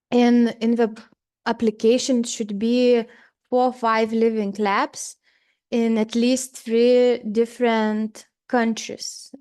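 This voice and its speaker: Latvian-accented woman, seminar presenter, speaks English as a second language, higher voice, hesitant delivery. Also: darkish tone. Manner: halting, many fillers and restarts.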